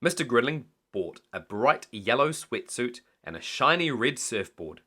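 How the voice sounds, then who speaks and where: A man reading a children's bedtime story aloud in an animated storytelling style. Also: medium pitch, a little slow.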